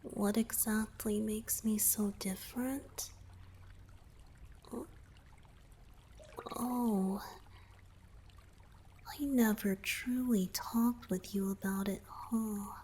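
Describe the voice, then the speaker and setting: A woman voicing a young merman boyfriend in a romantic ASMR roleplay, shy, teasing and affectionate, speaking softly and hesitantly and often dropping into intimate whispers. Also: mid-range voice, fairly even intonation, slow, slight background noise, natural voice. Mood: shy, tender.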